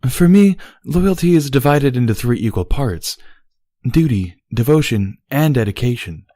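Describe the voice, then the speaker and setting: Man voicing a formal, dutiful pony princess, pitched up. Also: animated, dark tone, no room sound, studio quality, lightly acted. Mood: earnest. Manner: formal, measured, stilted diction. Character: formal, dutiful, argumentative immortal pony princess, younger sister. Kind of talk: dramatised storybook reading.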